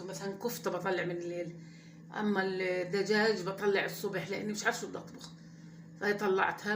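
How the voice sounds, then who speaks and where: Fast-talking woman cooking at home. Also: medium pitch, echoey room, background noise. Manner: chatty and rambling, talks straight to viewers. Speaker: home cooking channel host. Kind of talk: casual cooking vlog chat. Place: home kitchen at the stove.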